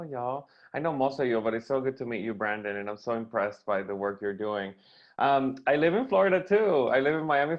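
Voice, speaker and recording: A man born in Cuba, speaking as a podcast guest in a casual, lively way, with lots of "like" and "y'all". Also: mid-range voice. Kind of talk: casual chat. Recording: dull lo-fi sound.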